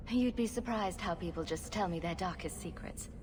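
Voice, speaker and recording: Woman as wry, low-pitched rogue heroine. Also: moderate pace, close to an everyday voice. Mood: wry, sarcastic. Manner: sarcastic, dry. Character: wry, self-assured young female rogue adventurer. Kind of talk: video game cutscene acting. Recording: clear background ambience noise.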